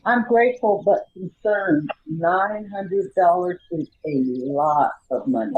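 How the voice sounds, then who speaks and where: A woman's voice: lowish voice, lively intonation, takes it slowly. A woman playing a worried, indignant homeless citizen in an amateur radio play, earnest and incredulous. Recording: phone-like muffled sound with faint noise.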